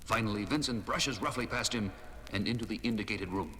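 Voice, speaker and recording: An actor as the melodramatic, portentous storyteller of a gothic horror tale on a 1970s audio drama. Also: male voice, fairly low pitch, fairly quick, slight background noise. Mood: dramatic. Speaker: narrator. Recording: loud dramatic music under narration. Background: loud music.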